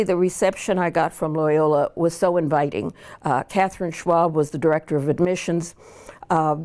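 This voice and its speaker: Reflective older woman, lowish voice. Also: a little mellow. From Pittsburgh, Pennsylvania. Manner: reflective, unhurried, many fillers and restarts. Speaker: law professor interviewee. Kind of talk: oral history interview.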